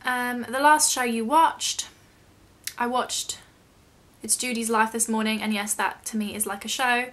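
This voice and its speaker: A woman's voice: fairly high pitch, fairly even intonation, unhurried, fairly bright tone. A young British woman chatting casually in a question-and-answer vlog, rambling a little and self-deprecating. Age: young adult. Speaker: YouTube vlogger.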